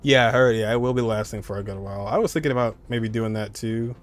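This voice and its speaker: A man's voice: lowish voice, fast talker. A man live-streaming a game, chatting casually and rambling as he answers viewers, often repeating his phrases.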